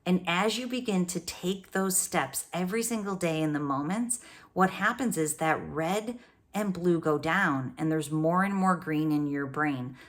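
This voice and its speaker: A woman's voice: lowish voice, unhurried. A woman host giving a motivational coaching talk, speaking straight to the listener with upbeat energy and rhetorical questions. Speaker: brain coach and podcast host. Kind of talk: motivational solo talk to camera.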